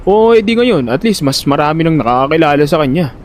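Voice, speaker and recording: A man's voice: a little high, animated, fast talker, slight background noise, lightly acted. A man with a Filipino accent playing a panicky, talkative older brother who narrates his own story in an audio drama and gets easily flustered and exasperated. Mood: defensive. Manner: flustered, stammering when pressed, exasperated.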